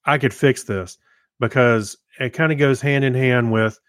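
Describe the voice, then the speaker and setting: A middle-aged man co-hosting a podcast, talking through tech topics in a halting, loosely phrased way. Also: medium pitch, dry recording, clean studio sound. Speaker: podcast co-host. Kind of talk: casual tech chat.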